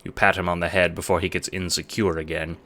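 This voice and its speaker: A man's voice: deep voice, little pitch movement, fast talker, fairly bright tone. A man narrating an audio drama as scripted second-person storytelling.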